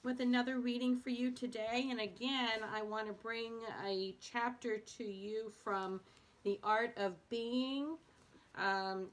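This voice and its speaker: Woman, mid-pitched voice, read-aloud host, casual self-help reading, occasional stumbles and corrections.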